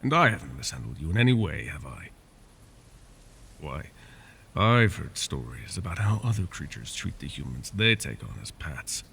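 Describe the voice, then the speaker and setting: A man voicing a possessive, scheming male dragon in an ASMR roleplay, teasing and drifting into soft whispers. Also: sing-song, normal speed, slight background noise, exaggerated voice. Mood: coaxing. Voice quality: gravelly, low.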